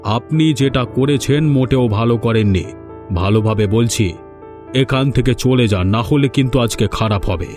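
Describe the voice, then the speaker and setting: A man's voice: mid-range voice, normal speed, darkish tone, faint music behind, close to an everyday voice. A man playing an arrogant village moneylender boss in a cartoon folk tale, domineering and threatening. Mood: menacing. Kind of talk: Bengali animated folk-tale dialogue.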